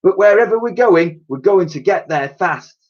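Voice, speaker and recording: A man's voice: high-pitched, expressive, moderate pace, voice pushed up. A man voicing a plucky, determined young girl in a children's storybook, on a lo-fi recording. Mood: urgent. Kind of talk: storybook character voice. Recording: dull, lo-fi recording.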